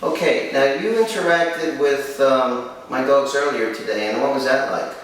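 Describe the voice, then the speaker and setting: Male host, medium pitch, firm instructive delivery in short phrases, recorded very echoey and distant from the mic. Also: normal speed, a bit bright. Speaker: kennel owner and host. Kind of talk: presenting and interviewing for a dog training video. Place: room in a home. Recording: echoey room, distant mic, faint noise.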